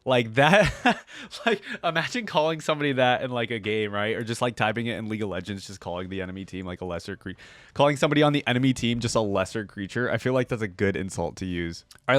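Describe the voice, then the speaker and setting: A man's voice: mid-range voice, dry recording. A young man giving casual, slangy reaction commentary, musing aloud as he goes. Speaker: reaction video host.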